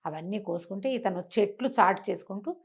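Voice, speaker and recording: A woman's voice: mid-range voice, a little fast. A woman tells a fantasy folk tale in a conversational way, using rhetorical questions and repetition. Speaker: storyteller on a web show.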